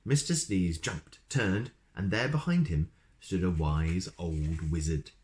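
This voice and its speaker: A man's voice: low voice, takes it slowly, a little mellow. A man reading a children's story aloud expressively, with chatty asides to his listeners.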